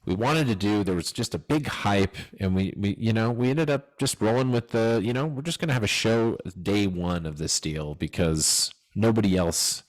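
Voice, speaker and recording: Deep-voiced male co-host, brash and playful, pumps up his partner. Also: moderate pace, few pauses, low-quality recording. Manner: loud, jokey, hype-man energy, swears. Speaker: podcast co-host. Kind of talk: casual chat.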